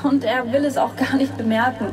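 A woman playing a high-voiced, sad young android woman. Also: steady tone, brisk, lightly acted. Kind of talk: audio drama acting.

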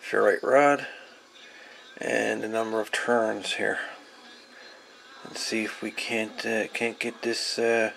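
A man casually presenting a hands-on electronics demonstration, unscripted and hesitant, with frequent pauses. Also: fairly low pitch, little pitch movement, vocal fry, fairly bright tone. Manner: hesitant, unscripted, pausing often. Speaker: hobbyist presenter. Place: small cluttered home workshop. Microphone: camera microphone on the workbench. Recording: camera audio with audible background noise.

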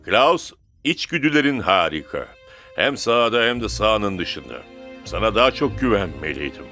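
A man playing an earnest, supportive football player in a cartoon dub, with expressive delivery. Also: lowish voice, normal speed, soft background music. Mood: sincere. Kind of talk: cartoon dubbing.

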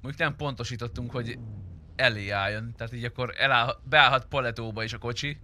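Blunt man with a sharp, bright voice. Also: medium pitch, fairly even intonation. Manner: blunt, foul-mouthed. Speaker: roleplay game player. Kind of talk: casual in-game roleplay chat, swearing.

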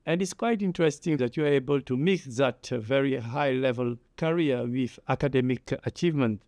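A man hosting an interview from a studio set, giving measured, formal introductions and questions. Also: medium pitch, a little mellow, no room sound. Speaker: host.

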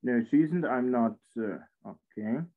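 A man's voice: mid-range voice, slow. 40s guy w a foreign accent teaching english online, patient n encouraging, sounds like a tinny phone line. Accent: foreign accent in Spanish. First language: not Spanish. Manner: patient, encouraging, repeats himself. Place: indoor room at a computer. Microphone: computer mic on a video call.

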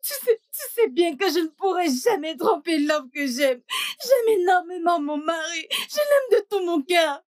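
A woman's voice: very high-pitched, expressive, normal speed, hardly any pauses, a little mellow. An actress dubbing a hurt young wife who pleads tearfully with her mother. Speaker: daughter, wife. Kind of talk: dubbed film acting.

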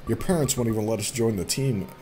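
A man's voice: lowish voice, animated, fast talker, music in the background, close to an everyday voice. A man voicing a shy, dutiful young girl in a visual novel game, in a plain, unassuming way. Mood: earnest.

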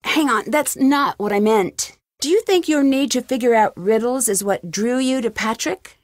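Game heroine voiced by a woman: curious young amateur sleuth, conversational and questioning, on the higher side, very dry recording. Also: moderate pace, dry recording, lightly acted. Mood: pointed. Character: inquisitive, friendly young female amateur sleuth. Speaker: game protagonist. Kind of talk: video game dialogue lines.

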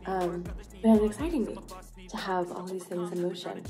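A woman's voice: fairly low pitch, fairly even intonation, normal speed. A young adult woman chatting casually in a vlog, giving updates as a producer indoors at home. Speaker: audio drama writer and producer. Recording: background music, roomy echo.

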